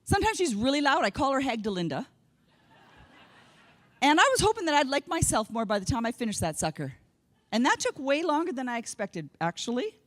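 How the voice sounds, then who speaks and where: A woman's voice: sits high, big pitch swings, fairly quick, fairly bright tone. A woman in her fifties, from Canada, giving a comedic keynote talk, self-mocking and quoting herself and her family in voices. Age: 50s. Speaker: keynote speaker. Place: conference hall stage.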